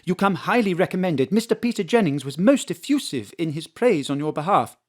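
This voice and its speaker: An actress playing a brisk female city mayor who speaks formally and politely, then bluntly. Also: lowish voice, very animated. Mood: businesslike. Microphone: home studio mic.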